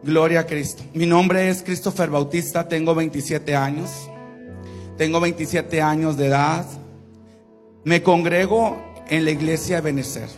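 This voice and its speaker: A man's voice: fairly high pitch, steady tone, a little slow, faint music behind. Fervent young Spanish-speaking preacher man. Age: 20s. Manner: fervent, rising prayer with repeated invocations. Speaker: guest preacher. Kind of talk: evangelical sermon and prayer.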